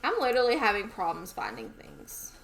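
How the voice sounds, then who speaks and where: A woman's voice: mid-range voice, huge pitch swings, a little fast, creaky, fairly bright tone, a little hiss or hum, rough recording. A woman with a Southern US accent, chatting casually to herself as she streams a game. She rambles and is easily amused.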